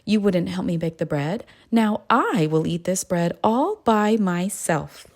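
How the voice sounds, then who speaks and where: A woman voicing an industrious, indignant little red hen in an emphatic, animated way for a children's storybook. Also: on the low side, measured pace. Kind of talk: children's storybook read-aloud.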